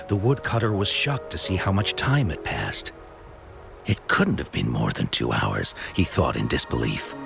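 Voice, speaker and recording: A theatrical storyteller of old legends in a video game. His very low voice swings widely as he speaks in a measured, mysterious way. Music plays clearly behind him. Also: exaggerated voice. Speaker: storyteller character.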